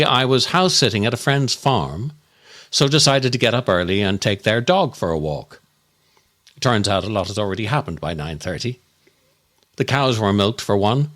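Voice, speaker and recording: A man delivering a scripted comic monologue as a podcast host, in a grumpy, exasperated, mock-appalled and deliberate way. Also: mid-range voice, a bit bright.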